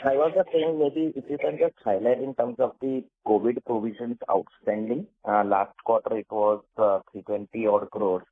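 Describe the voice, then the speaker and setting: A man with an Indian accent asks questions on a conference call. His voice is fairly low and husky. The phone line is narrow and unclear. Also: steady tone, moderate pace, hardly any pauses, no room sound. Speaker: analyst asking questions.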